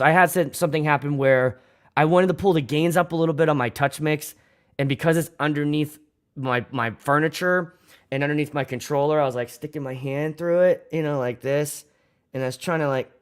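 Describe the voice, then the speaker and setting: A man's voice: a little high, fairly quick, clean tone. A young man from Pittsburgh with a Pittsburgh accent, hosting a casual livestream as a DJ, rambling, swearing freely and restarting his sentences. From Pittsburgh, USA. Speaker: DJ and livestream host.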